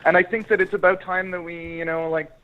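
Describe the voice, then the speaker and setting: A man's voice: sits high, flat delivery, a little fast, lo-fi sound. A man chatting casually as an interviewed screenwriter, talking in an effusive, emphatic, rambling way.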